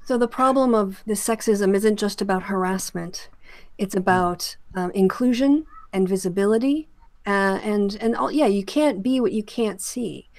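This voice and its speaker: A woman hosts a panel discussion in a mid-pitched voice. She lays out her opinions in an explanatory way, feeling for her phrasing. The sound is dry, with no room around it. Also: moderate pace, darkish tone, no room sound. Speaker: podcast host. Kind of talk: panel discussion on a remote call.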